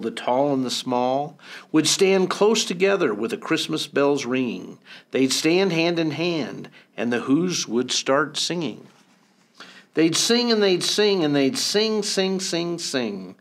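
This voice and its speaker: Man reading a storybook aloud, expressive. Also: fairly high pitch, a little slow. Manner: expressive, rhythmic storybook reading with swings in loudness. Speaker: mayor.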